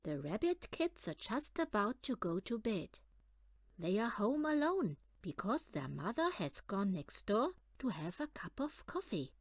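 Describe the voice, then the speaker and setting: Female children's audiobook reader, lively swooping pitch, unhurried delivery, close dry sound. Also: dry recording. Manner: animated, deliberate storytelling for young children. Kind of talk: expressive read-aloud of a children's picture book.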